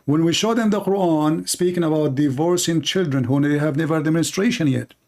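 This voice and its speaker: Arabic-speaking guy ranting on his channel, mellow, kinda high voice, repeats himself a lot. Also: brisk. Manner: emphatic, repetitive, non-native phrasing. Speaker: religious debate host. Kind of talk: polemical commentary.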